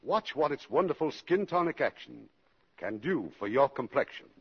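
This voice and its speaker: Casting: male producer-host of vintage radio, formal script delivery, lively pitch, slightly rough voice, muffled old recording. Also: moderate pace. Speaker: producer and host introducing the show.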